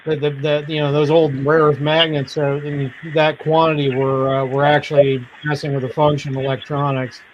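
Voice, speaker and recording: A man's voice: a little high, flat delivery, normal speed, hardly any pauses, lo-fi sound, a little hiss or hum. A man hosting a podcast in casual chat, rambling through stories with frequent laughs and repeated starts.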